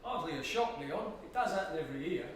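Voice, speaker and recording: An actor playing an older British captor, a nostalgic, jocular storyteller given to grand boasts, in a stage drama. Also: male voice, on the high side, expressive, quick pace, natural voice. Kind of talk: theatrical stage drama dialogue. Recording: very echoey, distant mic, faint noise.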